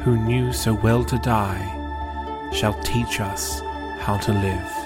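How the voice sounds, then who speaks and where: Slow, low-voiced man reciting. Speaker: poetry podcast reader. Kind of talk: slow, measured poetry recitation and scripted narration. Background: prominent calm new-age music.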